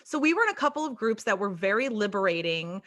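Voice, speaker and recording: A woman hosting a podcast, chatting casually and gently about spirituality, laughing often. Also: medium pitch, sing-song, fast talker. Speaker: podcast host.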